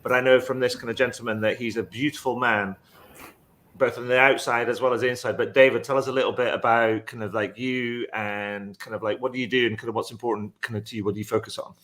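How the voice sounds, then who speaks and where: A man hosts a panel discussion in an informal, chatty style. His voice sits at a middling pitch. He rambles a little and is complimentary toward the people he addresses. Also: fairly even intonation, quick pace, fairly bright tone. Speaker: panel moderator. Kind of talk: casual panel hosting.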